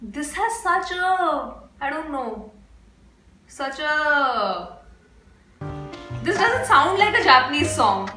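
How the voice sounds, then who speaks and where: A young Indian woman with a high, bright, sharp-edged voice, chatting with fans as she reacts to a music video. She gushes with quick exclamations and talks with casual fillers. The voice bounces around an echoey room, with faint music underneath. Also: sing-song. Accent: Indian English.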